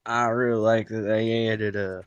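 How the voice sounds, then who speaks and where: A young man on a podcast, improvising deadpan comic anecdotes in a casual, understated, halting way. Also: on the low side, smooth, no echo, muffled recording. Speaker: podcast member. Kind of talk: improvised deadpan comedy chat.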